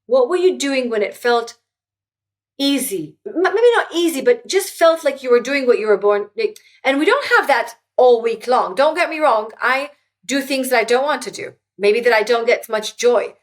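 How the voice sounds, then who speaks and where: A fairly high-voiced woman whose first language is Hebrew speaks as an interview guest. She is earnest and reflective, often stopping to restart a sentence. She sounds middle-aged. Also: animated, normal speed. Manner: earnest, many restarts and fillers. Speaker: guest, author.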